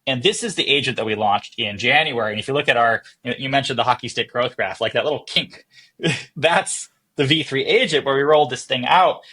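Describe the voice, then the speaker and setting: Husky man explaining tech conversationally. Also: medium pitch, fairly quick, sharp tone. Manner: explanatory, casual, acting out thoughts as quotes. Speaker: interview guest, software company founder and chief executive. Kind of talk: conversational technical explanation in a podcast interview.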